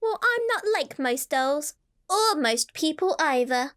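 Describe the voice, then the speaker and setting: A woman's voice: sits high, big pitch swings, measured pace, fairly bright tone, exaggerated voice. A woman playing a vain, childlike talking doll in a horror radio drama, sweetly coaxing and smug. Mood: smug, playful.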